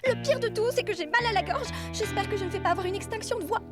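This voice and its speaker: A woman's voice: very high voice, fast. A woman voicing a vain, self-centred young pop-singer girl in a French cartoon, showy and melodramatic. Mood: put out. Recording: loud music underneath.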